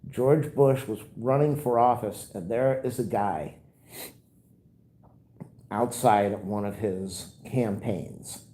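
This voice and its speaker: A man's voice: fairly low pitch, slow, dark tone, husky. A man with a nasal, stuffed-up voice, rambling and grumbling through a casual livestream. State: stuffed-up nose. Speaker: livestream host.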